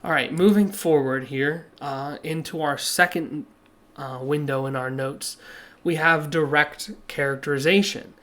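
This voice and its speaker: A man teaching a recorded class lesson over slides, with a slightly hoarse voice and a plain, patient way of explaining that uses many "uh" fillers. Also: a little high, a little slow, fairly bright tone.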